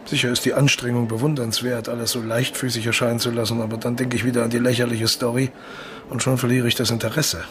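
An actor playing an aging colonel in a noir radio play, upright and authoritative without barking, politely blasé. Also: male voice, mid-range voice, background noise, close to an everyday voice. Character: aging male colonel, upright, self-assured, urbane and blasé.

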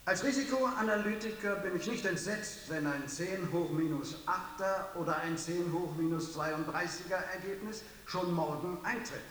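A high-voiced man lectures emphatically as an expert in a discussion. He is distant from the microphone in a big, heavily reverberant hall, with faint hiss. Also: a bit bright. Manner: emphatic, didactic. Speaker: risk analyst. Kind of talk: speaking in a public discussion.

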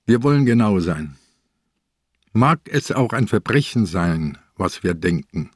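Old sick detective, low voice, takes his time, calm but relentless, radio crime play. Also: breathy edge, no room sound, clean studio sound, exaggerated voice. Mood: grave, relentless. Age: older. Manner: deliberate, probing, unflinching. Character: ailing old police inspector, calm, probing, relentless. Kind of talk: German radio crime drama acting.

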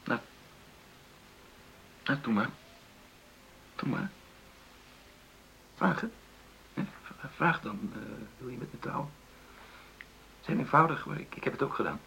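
An actor in a radio drama playing an earnest, conciliatory middle-aged husband who stammers and searches for words in a creaky voice. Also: male voice, medium pitch, lively intonation, a little slow, long pauses, pushed and bright, slight background noise, exaggerated voice, low-quality recording. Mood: coaxing, tender. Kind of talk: naturalistic radio drama dialogue.

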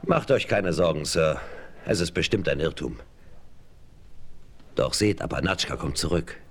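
A man's voice: extremely low pitch, expressive, a little slow, husky, full character voice. A man playing a brave, reserved frontiersman in a western radio play, courteous, formal and composed in his speech. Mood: earnest, composed.

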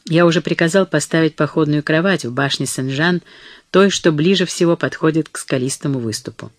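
A reader lends a slightly lower voice to a weary medieval knight, gentle with his wife yet immovable in duty. The delivery is restrained and even, recorded dry and close. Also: brisk, no room sound, close to an everyday voice. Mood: firm. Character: weary knight husband, gentle but firm.

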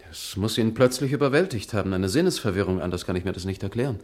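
Deep male actor as distraught bereaved friend, radio crime drama; halting, earnest, theatrical delivery. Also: expressive, a little mellow, natural voice. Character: shaken, grieving male friend of a dead man. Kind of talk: German radio crime play acting.

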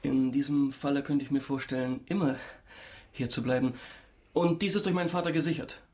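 An actor in a German radio drama playing a young impostor viscount, eager, slippery and ingratiating, with a slightly hesitant delivery. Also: male voice, medium pitch, fairly even intonation, fairly quick, darkish tone, lightly acted. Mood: careful, probing.

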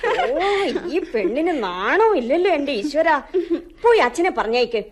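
A woman's voice: sits high, big pitch swings, unhurried. A woman with a Malayali accent acting a fretful, loving middle-aged mother who admonishes her daughter in a radio drama. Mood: mildly scolding. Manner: admonishing, anxious.

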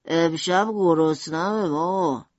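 Woman doing an earnest, lowish-voiced innocent son. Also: darkish tone, no echo, lo-fi sound, close to an everyday voice. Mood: plain, hungry. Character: eager, innocent son showing things to mum.

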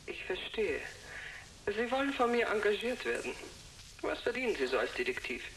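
A woman's voice: medium pitch, very animated, moderate pace, a bit bright, a little hiss or hum, rough recording. A woman playing a sceptical, formal client negotiating with a detective in a sci-fi radio drama. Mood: cool, sceptical.

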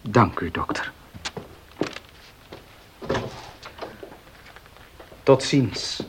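An actor playing a devoted, grieving husband in a period radio drama, speaking slowly and earnestly in a hushed, breathy voice. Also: male voice, mid-range voice, animated, background noise. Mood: subdued. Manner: slow, hushed, earnest, emotional.

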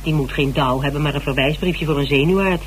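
A woman's voice: sits low, fast, lightly acted. A woman in a Dutch radio drama playing a shrewd, composed investigator with a probing, matter-of-fact manner. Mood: blunt, persuasive. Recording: noisy, humming old radio recording.

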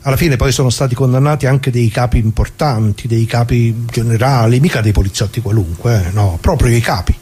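Radio play: male actor for talkative old pensioner, husky voice, good-natured yet indignant, folksy rambling delivery. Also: mid-range voice, brisk, few pauses, mellow, natural voice. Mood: sardonic. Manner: colloquial, rambling, addresses listeners, repeats himself. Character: chatty, good-natured old retired man, working-class, indignant. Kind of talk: dramatic monologue in radio play.

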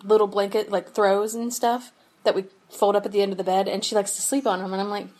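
A woman's voice: medium pitch, flat delivery, quick pace, darkish tone. A young woman co-hosting a podcast over a remote call, chatting casually and giggling often, with lots of fillers. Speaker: podcast co-host. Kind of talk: casual chat.

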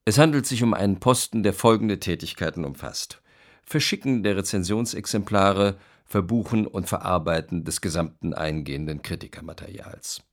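An actor playing a brisk, benevolent publisher, delivering formal business letters emphatically in a radio play. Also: male voice, low-pitched. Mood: decisive, benevolent.

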